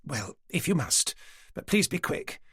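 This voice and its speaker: A curt, protective younger woman, as a male narrator puts her on, with clipped, brisk lines. The voice is husky with some fry, and the recording is dry. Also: low voice, big pitch swings, no room sound, heavily acted.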